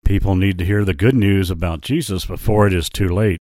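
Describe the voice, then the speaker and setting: Brief: a man playing a big, gentle lion, low and solemn, dry close sound. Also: no room sound, close to an everyday voice. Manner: slow, earnest warnings. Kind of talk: storybook character voice.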